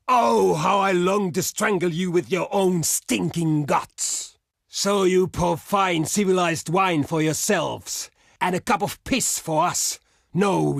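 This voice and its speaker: Barbarian warlord for a strategy game: proud, hot-tempered, high register, slow archaic declamation. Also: male voice, creaky, fairly bright tone, no room sound, clean studio sound, heavily acted. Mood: scornful, furious. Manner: declamatory, archaic, blunt speech. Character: proud, hot-tempered barbarian chieftain, adult warrior negotiating. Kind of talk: theatrical video game character voice lines.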